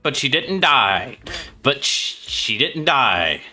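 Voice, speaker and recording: Guy streaming games, super bright punchy voice, swears a lot, casual reactions, bit of music behind. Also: medium pitch, sing-song, takes it slowly. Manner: sweary, slangy. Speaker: livestream gamer host.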